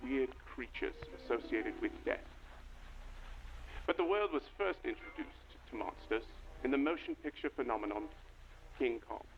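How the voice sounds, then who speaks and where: A man's voice: mid-range voice, expressive, normal speed, creaky. A man playing a grave, earnest documentary guide, narrating formally and portentously from a script in a vintage style. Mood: solemn, formal. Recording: dull lo-fi audio with slight noise.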